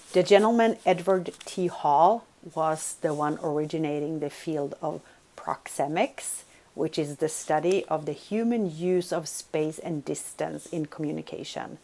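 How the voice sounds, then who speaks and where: A woman's voice: deep voice, darkish tone. A woman from Sweden, giving a recorded college lecture and checking in with listeners by asking "right?". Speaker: business communication lecturer.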